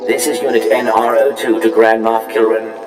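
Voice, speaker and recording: An actor playing a polite, coldly sinister protocol droid in a video game, formal and deadpan, with a robotic filter. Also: male voice, on the low side, moderate pace. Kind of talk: video game character acting. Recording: distant, echoey reverb under loud music.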